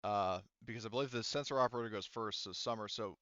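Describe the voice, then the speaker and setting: A man's voice: fairly low pitch, quick pace, fairly bright tone, no echo, dull audio. A man acting as game master, casually talking through rules and thinking aloud in a hesitant way. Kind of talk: casual tabletop game refereeing, explaining rules.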